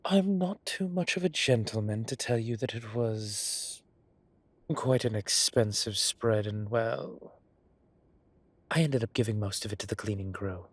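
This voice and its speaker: A man playing a suave, gossip-loving gentleman boss in an intimate audio roleplay, arch and playfully dramatic, with breathy, drawling delivery. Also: on the low side, animated, unhurried, no room sound. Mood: playful prodding. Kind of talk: scripted intimate roleplay acting.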